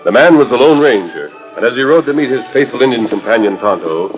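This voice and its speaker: A man's voice: mid-range voice, expressive, fast, soft background music, rough recording. An American man narrating a 1940s radio western in a formal, dramatic storytelling style. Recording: old transcription disc radio recording.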